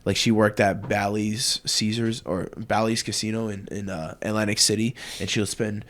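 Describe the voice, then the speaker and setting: A man co-hosting a podcast, telling stories in a relaxed way with a few hesitations. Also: on the low side, normal speed. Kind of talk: casual podcast chat.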